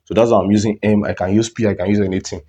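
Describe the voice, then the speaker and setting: A man's voice: lowish voice, little pitch movement, racing, dark tone, husky. A man with a cold teaching a maths lesson, explaining step by step and putting questions to the students. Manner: repeats words and restarts phrases. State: has a cold.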